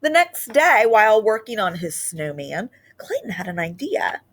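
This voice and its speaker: A woman teacher reading a picture book aloud to her class in an expressive, sing-song way. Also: mid-range voice, normal speed. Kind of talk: storybook read-aloud for children.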